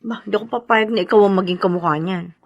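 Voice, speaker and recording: A woman's voice: mid-range voice, fast, no echo, dull audio, lightly acted. A Filipino woman playing a loving, long-suffering soldier's wife and new mother in an emotional drama, plaintive yet tender. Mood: resigned, loving. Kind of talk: emotional audio-visual drama acting.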